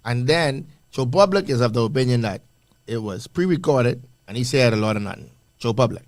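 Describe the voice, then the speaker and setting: A man's voice: medium pitch, animated, brisk, dry recording. A man co-hosting a radio panel show, pressing others with leading questions. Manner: probing, asks leading questions. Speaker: radio talk show co-host. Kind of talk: casual radio panel discussion.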